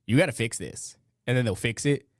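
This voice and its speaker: A man with a rough voice hosting a podcast, chatting casually in rambling, slangy, profane talk to a live audience online. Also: medium pitch, fairly quick, no room sound, clean studio sound. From northeastern United States. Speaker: podcast host. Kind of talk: casual live chat, reading listener comments.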